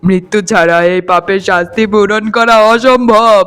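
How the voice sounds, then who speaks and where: A man acts out a rich cartoon husband with a notably high voice for a man. His delivery is melodramatic and overwrought, repeating words for weight. Soft music runs underneath. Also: moderate pace, clear, resonant tone. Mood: pleading, remorseful. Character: greedy, arrogant rich husband and younger brother. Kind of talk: melodramatic Bengali cartoon dialogue.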